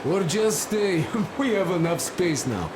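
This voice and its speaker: An actor playing a plain-spoken, loyal, wary wanderer in a game, with a rambling, halting, colloquial way of talking. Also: male voice, high voice, big pitch swings. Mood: relaxed. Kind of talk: game cutscene dialogue. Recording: noisy, echoey, vehicle-like ambience behind.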